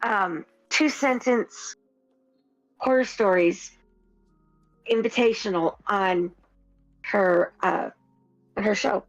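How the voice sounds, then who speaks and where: A woman co-hosting a casual podcast, halting with many fillers and quick to laugh. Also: medium pitch. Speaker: podcast co-host. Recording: dull lo-fi sound with faint music.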